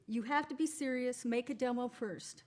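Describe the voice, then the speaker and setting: A middle-aged woman voice actress chatting as a guest in an interview, upbeat and quick to laugh. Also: on the high side, a little mellow. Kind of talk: casual interview chat.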